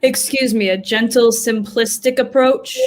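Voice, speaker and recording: Actress as female grim reaper, prim, businesslike, easily affronted, fairly high voice, clipped formal speech, stage play. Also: fairly even intonation, a little slow, close to an everyday voice. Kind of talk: virtual staged play reading.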